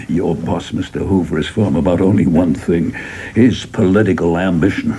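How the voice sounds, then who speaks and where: An actor in a radio play playing a seasoned, humane government official, questioning deliberately with gentle scorn and pauses. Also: male voice, sits low, a little hiss or hum. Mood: indignant, probing.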